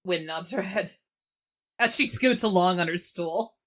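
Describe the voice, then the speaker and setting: A woman's voice: on the low side, unhurried, fairly bright tone. A woman playing a tabletop game, casually describing and voicing her character. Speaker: player in a tabletop game podcast.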